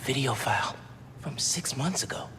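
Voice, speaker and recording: An actor playing an earnest young American superhero, thinking aloud in casual, clipped phrases as in a video game. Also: male voice, mid-range voice, lively intonation, moderate pace, in a whisper, lightly acted. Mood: pensive, focused. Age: young adult. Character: earnest, curious teenage male superhero investigating. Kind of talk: video game voice acting, self-talk while exploring. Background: background music.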